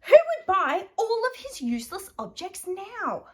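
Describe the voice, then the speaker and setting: An Australian woman reading a children's picture book aloud, animated and dramatic with playful emphasis. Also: very high voice, a little slow. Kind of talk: expressive children's bedtime story reading.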